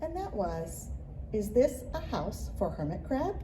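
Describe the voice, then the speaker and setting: A woman reads a children's picture book aloud in an expressive, deliberate storytelling manner, leaning into rhythmic phrases. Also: medium pitch, background noise. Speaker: children's librarian and story reader.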